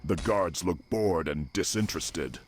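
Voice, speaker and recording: A man's voice: low-pitched, a little slow. A man playing an earnest, determined young orc escaped from slavery, speaking formally in a game cutscene. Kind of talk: dramatic game cutscene acting. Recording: music under the voice.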